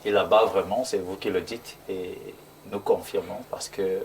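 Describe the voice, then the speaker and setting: Low, flat Cameroonian man on a distant mic. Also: normal speed, slight background noise. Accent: Cameroonian French. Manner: hesitant, many fillers and repeated words. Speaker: computer science lecturer and guest. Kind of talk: talk show guest interview.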